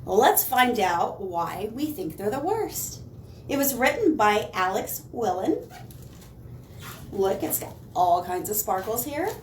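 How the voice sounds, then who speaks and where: A woman reading picture books aloud to young children, with expressive dramatic emphasis and chatty asides to her listeners. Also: mid-range voice, takes it slowly. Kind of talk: animated storytime read-aloud for children. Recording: roomy, distant mic, faint noise.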